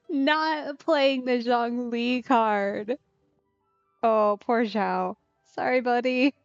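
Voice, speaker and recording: A woman's voice: fairly high pitch, extremely slow, no echo, highs cut off. A woman game streamer giving casual, playful let's play commentary, reacting and thinking aloud. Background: faint game music.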